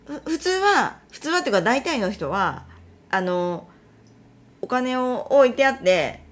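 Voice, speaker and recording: Animated woman with a regional Japanese dialect. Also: fairly high pitch, measured pace. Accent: central Japanese regional dialect (Tokai-Kansai). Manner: animated storyteller who acts out quoted remarks. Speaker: wife telling about her side job. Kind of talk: casual chat, storytelling.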